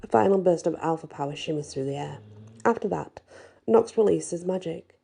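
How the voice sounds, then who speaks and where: A woman narrating a first-person fantasy story in a soft, husky, breathy ASMR style, with expressive delivery. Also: lowish voice, normal speed. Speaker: storyteller reading a fantasy audiobook.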